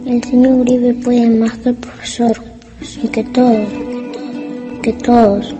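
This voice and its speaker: A frightened little schoolboy in a radio play, thinking aloud in a high, even voice. He sounds simple and plaintive, with music clearly playing behind him. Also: takes it slowly. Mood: worried, fearful. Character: timid, frightened little schoolboy of humble origins. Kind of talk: radio drama inner monologue.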